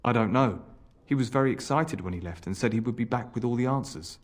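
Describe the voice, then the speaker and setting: A man playing an anxious, gloomy scholar's assistant in a video game, halting and fretful. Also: medium pitch, lightly acted. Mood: worried. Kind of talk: video game character acting.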